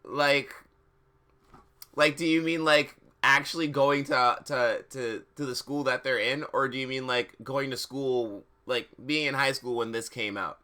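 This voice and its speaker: A man live streaming, switching between dramatic reading and casual, slangy commentary, often halting, repeating words and sounding exasperated. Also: mid-range voice, fairly even intonation, bright, forceful tone.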